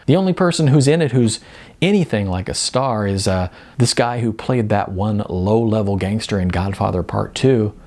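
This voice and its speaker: A man's voice: on the low side, normal speed, a little mellow. A man voicing a young video store clerk who talks in an offhand, chatty, dismissive way. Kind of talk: audiobook character voice.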